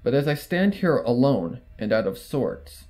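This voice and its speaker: A man narrates a written story in the first person, acting out the dialogue as he goes. His pitch sits in a middle range and moves in a lively way. Faint music plays behind the reading. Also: unhurried. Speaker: fan fiction reader. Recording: music bed under the reading.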